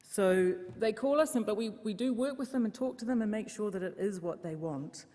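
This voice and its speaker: A woman with a New Zealand accent who works for a funeral service, explaining it clearly at an open mic conference talk with light self-mockery. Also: medium pitch, lively intonation, a little fast, mellow. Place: church hall conference. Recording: long reverb of a large hall.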